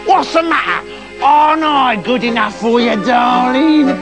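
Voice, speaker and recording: A man's voice: extremely high pitch, a little slow, over music, pitched above the performer's own voice. A man voicing a poor, dirty beggar with a cheeky, needling manner, in comic fairy-tale storytelling. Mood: teasing.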